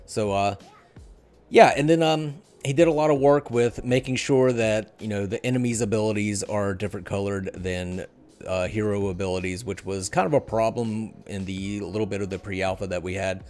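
A man's voice: lowish voice, steady tone, moderate pace, a little mellow, soft background music. A middle-aged man hosting a casual discussion of gaming news, speaking into a microphone at home on a video call. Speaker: podcast host. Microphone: headset and desk microphone.

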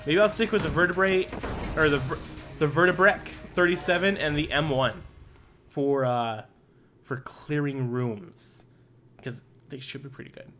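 A man giving casual, excitable commentary as he plays a video game, often talking to himself. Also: on the high side, measured pace. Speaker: gaming YouTuber. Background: game music and gunfire.

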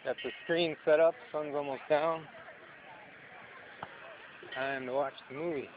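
A man's voice: a little high, fairly even intonation, unhurried. A man narrating his own camera footage outdoors on a beach at sunset, in a plain, matter-of-fact way. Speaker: vlogger filming an outdoor movie night. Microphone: handheld camera mic. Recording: muffled camera audio with loud outdoor noise.

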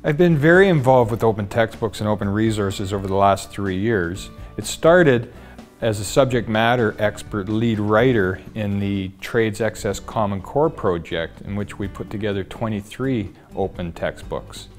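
Low-voiced man in his fifties. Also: moderate pace. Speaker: pipe trades instructor. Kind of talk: testimonial interview. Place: studio with dark backdrop. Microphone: lapel mic. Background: faint guitar music.

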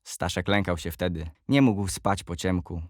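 A man reading scripted biographical narration for an audio play in a measured, factual manner. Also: sits low, no echo, clean studio sound.

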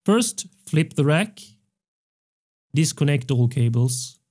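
A young man hosting a music production tutorial, explaining step by step in a measured way into a close studio mic. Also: fairly high pitch, expressive, a little mellow. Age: young adult. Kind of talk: tutorial narration. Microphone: close studio microphone on a stand.

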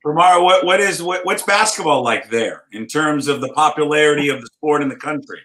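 A man interviewing a guest, starting his questions over and over before getting them out. His tone is bright and forward. There's a roomy echo around him. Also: a little high, a little fast. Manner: stumbling, restarts questions. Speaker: host.

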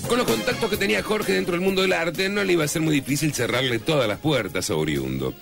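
Argentine guy narrating comic radio drama, super animated, creaky, music behind. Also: fairly high pitch, fast talker. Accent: Argentine Spanish.

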